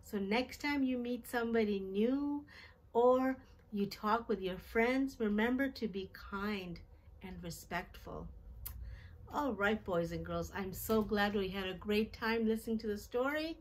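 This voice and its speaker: A middle-aged woman hosting a children's storytime, reading a picture book aloud in simple, deliberate phrases and putting questions to her young listeners. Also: medium pitch, low-quality recording. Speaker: children's storytime host and reader. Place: small decorated indoor room.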